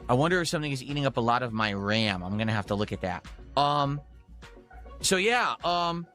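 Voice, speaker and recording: A man hosts a gaming livestream, chatting and rambling casually to his audience. His voice is medium in pitch with a sharp, forceful brightness. Light music runs underneath. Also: expressive, a little fast. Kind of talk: casual livestream chat to viewers.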